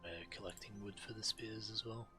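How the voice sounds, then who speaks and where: A man's voice: fairly low pitch, steady tone, brisk, sharp tone, soft background music. A man reading video game quest text aloud as a streamer, acting out the quest givers' lines as he narrates.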